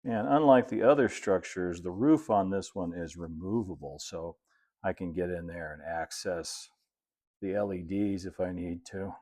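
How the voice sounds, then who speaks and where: Middle-aged man, lowish voice, folksy, casual workshop tutorial, thinking aloud. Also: unhurried, dark tone. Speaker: hobbyist presenter of model railroad build videos. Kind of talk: casual hands-on tutorial narration. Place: indoor hobby workshop.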